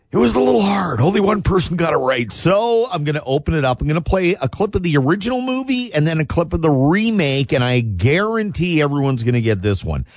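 A man's voice: a little high, no echo. A man hosting a morning radio show, chatty and upbeat, his voice a little scratchy today. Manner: upbeat, chatty, rambling with fillers. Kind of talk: casual radio chat. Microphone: studio microphone.

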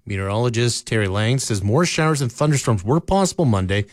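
A man reading scripted local news in a steady newsreader manner, from a radio studio. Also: mid-range voice, fairly quick, no echo. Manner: steady, even newsreader delivery. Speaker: radio newscaster.

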